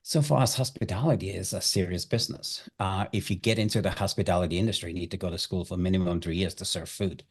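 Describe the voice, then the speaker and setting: A man's voice: low voice, steady tone, quick pace, few pauses, husky. A middle-aged man with an Austrian accent, a native German speaker, talking conversationally as an interview guest and telling stories. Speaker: chef and hospitality guest.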